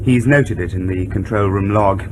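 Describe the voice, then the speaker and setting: An actor as a dutiful British naval officer, noisy background. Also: male voice, normal speed, close to an everyday voice. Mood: formal, respectful. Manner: formal, crisp orders. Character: dutiful, brisk male naval watch officer. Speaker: actor in a radio drama. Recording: clear background rumble and noise.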